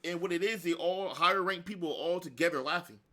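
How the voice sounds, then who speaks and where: A man ranting casually in heavy slang and profanity, animated and blunt. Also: high-pitched, fairly quick. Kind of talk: casual rant.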